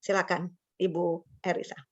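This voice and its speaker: A woman's voice: fairly low pitch, little pitch movement, normal speed. A woman presenting an online teacher-training webinar, chatty, slipping in English words and laughing often. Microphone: video call. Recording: slightly dull lo-fi call audio.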